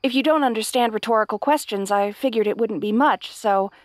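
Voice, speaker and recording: Woman acting a high-voiced, kind young fantasy princess. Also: fairly quick, no room sound, clean studio sound, close to an everyday voice. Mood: flustered, chatty. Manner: nervous, stammering, chatty and self-deprecating. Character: kind, hapless young princess, timid but brave, fantasy. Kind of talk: fantasy audio roleplay addressed to the listener. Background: night sounds with crickets.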